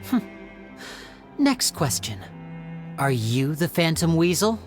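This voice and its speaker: A sly young magician. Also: male voice, a little high, very animated, takes it slowly. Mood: probing. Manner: smooth, probing. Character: young male stage magician, sly and composed. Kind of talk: video game voice acting. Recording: game audio with music behind.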